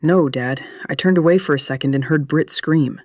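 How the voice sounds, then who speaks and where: An earnest teenage boy played by a man in an audio drama, a dutiful son with a fairly high voice and a dry recording. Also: normal speed, darkish tone, no echo, close to an everyday voice. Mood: defensive. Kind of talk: scripted audio drama acting.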